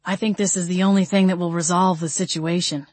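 A woman voicing a wry, long-suffering gentlemanly male vampire bridegroom in an audiobook story. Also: lowish voice, steady tone, a little fast, no room sound, natural voice. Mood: resolved.

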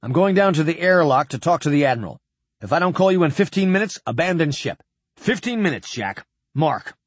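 A man's voice: fairly high pitch, very animated, a little fast, dry recording, voice pushed up. A man voicing a stubborn, loyal male starship captain who speaks firmly and urgently. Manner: firm, urgent, defiant.

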